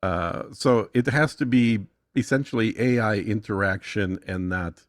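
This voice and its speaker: Male podcast guest, lowish voice, dry close sound, casual and assured explainer. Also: a little slow, dry recording. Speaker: podcast guest demonstrating software.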